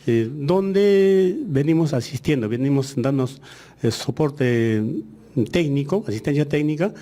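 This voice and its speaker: A man whose first language is Aymara, a ministry official answering interview questions in a measured way with frequent hesitations. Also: fairly high pitch, expressive, dark tone.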